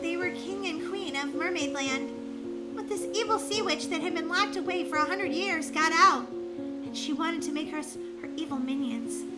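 A performer voicing an excitable, earnest young mermaid princess with melodramatic, exclamatory delivery in a children's story. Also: extremely high pitch, normal speed. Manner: melodramatic, exclamatory, stammering when upset. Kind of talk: dramatised children's story acting. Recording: music behind the voice.

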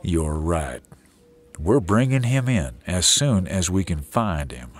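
An actor playing a beleaguered, stubborn sheriff in an audio drama, firm and weary. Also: male voice, low voice, expressive, slow pace, soft background music, exaggerated voice. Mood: measured.

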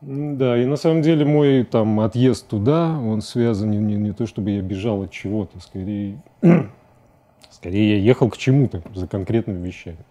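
A man in his forties giving halting, thoughtful answers as a guest in a studio interview. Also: fairly low pitch, warm tone, dull audio. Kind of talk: reflective studio interview talk. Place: indoor TV studio at a desk.

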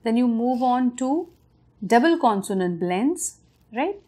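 A woman's voice: fairly high pitch, animated, takes it slowly, warm tone. A woman in her thirties from India with an Indian English accent, patiently explaining a step-by-step home reading method to parents, with friendly fillers and self-corrections, recorded on camera in her living room. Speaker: parenting channel host. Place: living room at night in front of a large window.

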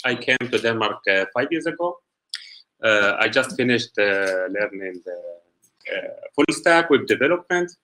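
Man from Syria, grad presenter, bright tone, hesitant with uhs, light hiss on the call. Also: mid-range voice, animated, moderate pace, poor audio. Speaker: web development graduate presenting. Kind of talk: online presentation talk.

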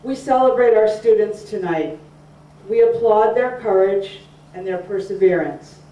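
An older woman delivering a formal, scripted speech in a measured way. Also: mid-range voice, dark tone. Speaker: program speaker. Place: community room, at a podium. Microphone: podium mic, far camera. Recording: dull, echoey room from far off.

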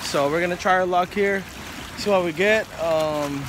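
A fairly high-voiced man chats into a handheld phone on a windy lagoon shore. He rambles easily, with frequent fillers and restarts. Wind and water noise sit clearly behind him. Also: moderate pace. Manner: chatty, many fillers and restarts. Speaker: fishing vlogger. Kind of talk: casual outdoor vlog. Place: rocky lagoon shore outdoors. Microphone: phone camera at arm's length.

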